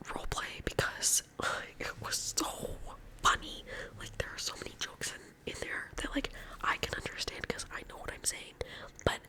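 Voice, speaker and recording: A woman's voice: bright, forceful tone, low-quality recording. A young woman from California with a Californian accent, whispering ASMR chit-chat in a rambling, chatty way full of filler words while she does her nails. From California, USA. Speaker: ASMR creator.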